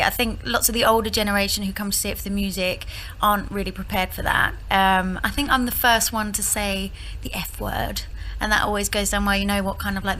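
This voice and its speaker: A woman's voice: medium pitch, fairly bright tone, slight background noise, poor audio. A woman in her thirties, a musical theatre actress, chatting hesitantly and laughing in an interview. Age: 30s. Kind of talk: casual interview chat.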